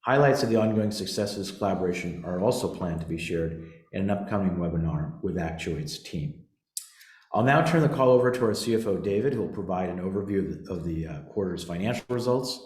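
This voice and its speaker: A man's voice: low voice, expressive, fairly quick, darkish tone. A man speaking as a company executive, giving a semi-scripted corporate presentation on a conference call. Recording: roomy, echoing conference call audio.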